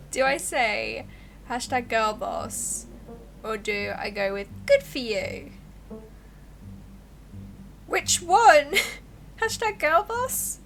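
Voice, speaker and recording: A woman livestreamer giving casual, expressive, giggly game commentary and reading the game's text aloud. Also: fairly high pitch, very slow, vocal fry, pauses often, slight background noise. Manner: giggly, expressive, reacts out loud.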